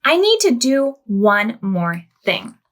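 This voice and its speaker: Storybook little girl, played by a woman reader: high, bouncy, eager, unhurried. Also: sing-song. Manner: bright, eager, sing-song exclamations. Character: cheerful, imaginative young girl.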